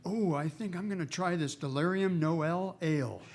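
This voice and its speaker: An amiable male inspector played by an actor from a vintage radio comedy-drama. He talks casually and a little hesitantly at a medium pitch. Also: moderate pace, darkish tone, close to an everyday voice.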